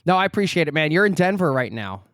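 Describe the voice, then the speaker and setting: A man's voice: fairly high pitch, sing-song, quick pace, a bit bright, no echo, clean studio sound. A man hosting a travel podcast, reading a friendly intro and then chatting casually with his guest. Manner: upbeat, friendly, joking with guest. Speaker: podcast host.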